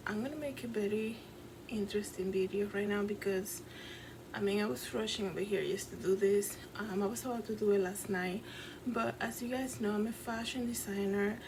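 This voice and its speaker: A woman with a Dominican accent talks straight to her camera in a casual vlog, rambling earnestly and padding her sentences with fillers. Her pitch sits in an ordinary middle range. A clear hiss of background noise runs under her voice in her apartment. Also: normal speed. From Dominican Republic. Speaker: vlogger and fashion designer. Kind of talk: casual vlog, recounting a dream.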